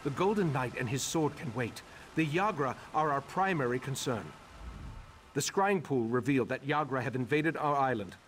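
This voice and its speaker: A man playing a grave, scholarly leader of a mage order in a video game, speaking in a measured, formal way. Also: mid-range voice, fairly bright tone, a little hiss or hum, natural voice. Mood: urgent. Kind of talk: scripted video game character dialogue. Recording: long echoing hall reverb.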